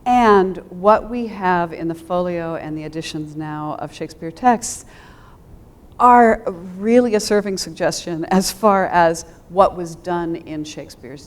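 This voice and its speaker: A woman with a slightly low voice talks as a theater director in a panel discussion. She is reflective and thoughtful. Her delivery halts and pauses mid-phrase as she works through her ideas.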